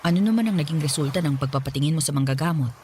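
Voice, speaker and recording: Woman acting a gently concerned aunt, lower voice. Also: very fast, a little hiss or hum, natural voice. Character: concerned, devout aunt of a sick young woman. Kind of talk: Filipino radio drama dialogue.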